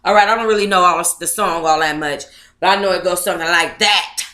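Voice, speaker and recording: Bright-voiced woman chatting in an echoey room. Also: fairly low pitch, fast. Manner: playful, slangy, chatty, talks to viewers. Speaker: livestream host reading their own book aloud. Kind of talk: casual livestream chat with read-aloud.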